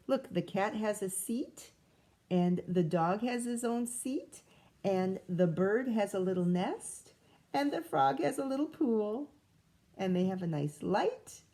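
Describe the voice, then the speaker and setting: A woman teacher reading a picture book aloud to children, animated and pausing to chat and ask questions. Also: mid-range voice. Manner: animated, asks the listener questions. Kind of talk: expressive read-aloud with asides to children.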